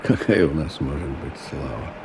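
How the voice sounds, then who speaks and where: An actor playing a middle-aged businessman in a radio drama, ironic and musing, in an unhurried way. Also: very deep voice, heavy background noise. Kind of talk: radio drama acting, interior monologue.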